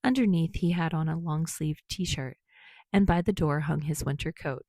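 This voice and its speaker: A woman's voice: deep voice, moderate pace, dark tone, dry recording, studio quality. A woman reading a story aloud as scripted audiobook narration.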